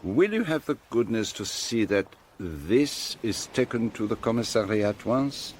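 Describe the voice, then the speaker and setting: An actor playing a shrewd, courteous detective in a radio drama, with a French-speaking European accent and precise formal phrasing. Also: male voice, fairly low pitch, very animated, unhurried, a little mellow, a little hiss or hum. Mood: courteous, probing. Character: shrewd, courteous, self-assured foreign detective gentleman.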